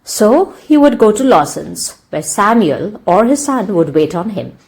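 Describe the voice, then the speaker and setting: A woman reading a classic story aloud as an audiobook narrator, in an expressive storytelling style. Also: medium pitch, unhurried, slightly rough.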